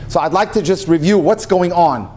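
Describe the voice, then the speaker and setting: Middle-aged rabbi telling jokes at a live talk, higher register, faint room hiss. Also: male voice, normal speed. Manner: animated storyteller, voices for punchlines. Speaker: rabbi and dating speaker. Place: event hall with audience.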